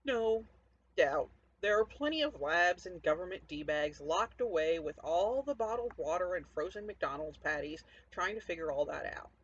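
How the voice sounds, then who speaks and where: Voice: mid-range voice, animated, moderate pace, a bit bright, husky. A performer narrating a first-person story as a cynical, defiant, foul-mouthed zombie apocalypse survivor, sardonic and conversational, talking straight to the listener. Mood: scornful. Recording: dull lo-fi audio, faint background noise.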